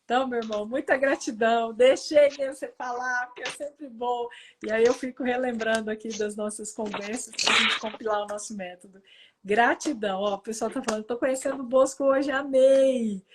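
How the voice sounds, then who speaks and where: A woman's voice: fairly high pitch, fairly even intonation, fairly quick, a little hiss or hum. A woman with a Goiás Brazilian accent hosting a casual live stream, effusive and thankful, often checking for agreement as she talks. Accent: Goiás Brazilian Portuguese. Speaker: host, quantum therapy teacher.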